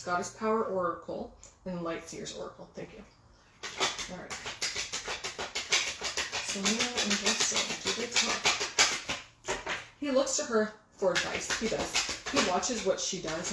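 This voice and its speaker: A woman giving a casual, excitable tarot reading, chatting and musing aloud with many fillers. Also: mid-range voice. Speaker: tarot reader. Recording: roomy with faint background noise.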